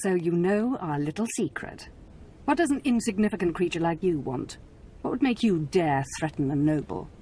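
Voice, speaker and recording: Brief: actress as a smug, cruel game noblewoman, slightly low voice, cold and mocking. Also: expressive, normal speed, warm tone, slight background noise, lightly acted, low-quality recording. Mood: condescending. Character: scheming haughty noble lady, cruel and possessive. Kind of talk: video game cutscene acting.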